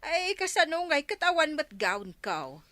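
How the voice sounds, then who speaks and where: A woman playing a reluctant, complaining older village mother in a radio comedy drama, whiny and drawn-out, with an Ilocano accent. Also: high voice, sing-song, sharp tone, dry recording, exaggerated voice.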